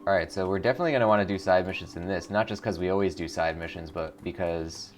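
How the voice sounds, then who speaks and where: A man's voice: deep voice, fast talker. A man giving casual, enthusiastic game commentary as a show host, speaking informally and reading on-screen text aloud. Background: faint music.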